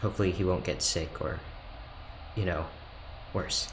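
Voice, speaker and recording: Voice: sits low, steady tone, moderate pace. An actor playing a reserved, awkward ship's doctor in a sci-fi audio drama, speaking hesitantly and with stiff formality. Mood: resigned. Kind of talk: audio drama acting, naturalistic dialogue. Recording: roomy echo, faint background noise.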